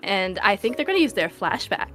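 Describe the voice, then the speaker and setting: A woman's voice: mid-range voice, big pitch swings, a little fast, fairly bright tone, soft background music. A woman playing a tabletop game, improvising as she describes what her character does.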